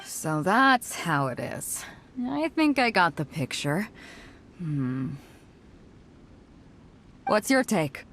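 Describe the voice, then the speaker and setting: A woman's voice: lowish voice, sing-song, drawn-out words, pauses often, fairly bright tone, a little hiss or hum, heavily acted. An actress playing a confident, breezy, commanding female ship's captain in a video game. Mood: thoughtful.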